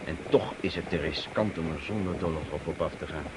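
An actor playing a gruff, shrewd Russian partisan officer in a radio drama, commanding and emphatic. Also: male voice, a bass voice, fairly quick. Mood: irritated. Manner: commanding, emphatic, grumbling oaths. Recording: noisy old radio play recording.

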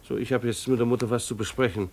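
A man acts out a harried family man in a radio play, a schoolteacher with a slightly gravelly, mid-pitched voice. He speaks earnestly and wryly, choosing formal words with pedantic care. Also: fairly even intonation, fast talker, darkish tone, a little hiss or hum, close to an everyday voice. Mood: resolute, explaining. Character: Hans, harried schoolteacher husband and father, earnest and wry. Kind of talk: German radio play dialogue.

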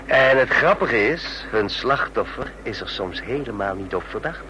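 An actor in a Dutch radio drama playing a chatty, smug train passenger obsessed with spy stories, talking in a knowing, needling way. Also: male voice, lively intonation, normal speed, lightly acted. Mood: gleefully needling. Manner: talkative, knowing, needling with rhetorical questions. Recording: noisy radio play with vehicle rumble.

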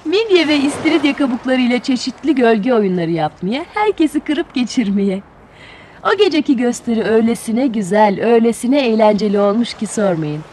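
A woman tells a children's fairy tale in a high voice. Her delivery is expressive and very melodic, with faint noise behind her. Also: brisk. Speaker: storyteller.

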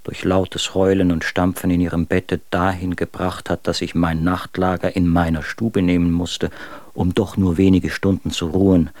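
An actor playing a bitter, tormented young husband and poet, reading confessional letters in a German radio drama. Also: male voice, low-pitched, flat delivery, moderate pace, a little mellow, dry recording, lightly acted. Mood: anguished.

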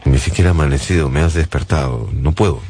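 A man's voice: a bass voice, fast talker, a little mellow, slight background noise. An actor playing a bewildered, reluctant man in a radio drama, who also narrates the story and tends to be hesitant. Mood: groggy, reluctant. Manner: hesitant, stammering in dialogue. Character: bewildered, reluctant male friend and retrospective narrator. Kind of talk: Spanish-language radio drama acting and narration.

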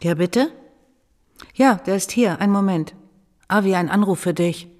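An actress in a German radio drama playing a firm, businesslike senior police officer who speaks decisively. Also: female voice, medium pitch, normal speed, a little mellow, long reverb tail, close to an everyday voice. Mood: measured.

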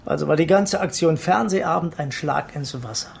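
An actor playing an impatient, argumentative crime writer in a radio drama, blunt and irritable. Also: male voice, fairly high pitch, normal speed, creaky, husky. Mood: sceptical.

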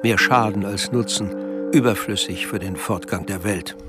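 Male narrator over loud music. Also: fairly low pitch, unhurried. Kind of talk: scripted literary radio narration.

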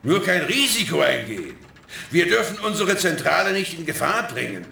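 Scheming hacker boss for radio play: high, very gravelly, smug and commanding, slow theatrical delivery. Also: male voice, sing-song, sharp tone, full character voice. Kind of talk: children's radio drama acting. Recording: echoey, with faint noise.

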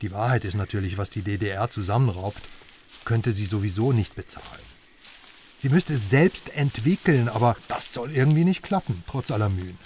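An actor in a radio drama playing a brash West German investigative journalist, chatty, sardonic and emphatic. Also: male voice, on the low side, lively intonation, a little fast, darkish tone, close to an everyday voice. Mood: eager, sardonic. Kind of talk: naturalistic radio drama dialogue. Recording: slightly distant voice, faint outdoor noise.

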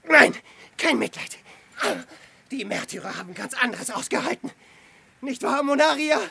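Tormented ascetic hermit, radio play: high, creaky voice, fervent halting outbursts from whisper to cry. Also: male voice, expressive, sharp tone, slight background noise, exaggerated voice. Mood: fervent, pleading. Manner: fevered, halting exclamations between whispers and cries. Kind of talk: literary radio drama acting.

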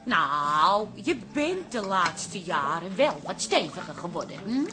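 An actress playing a friendly, placating adult daughter in a café radio drama. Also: female voice, fairly low pitch, sing-song, slow, music in the background. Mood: teasing. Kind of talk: Dutch radio drama acting.

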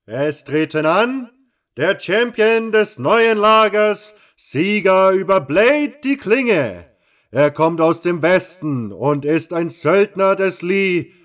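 Booming, drawn-out arena announcer. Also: male voice, expressive, fairly bright tone, full character voice. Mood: grandiose, rousing. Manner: drawn-out, bombastic proclaiming. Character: burly male arena announcer, grandiose showman working the crowd. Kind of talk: fan audio drama acting.

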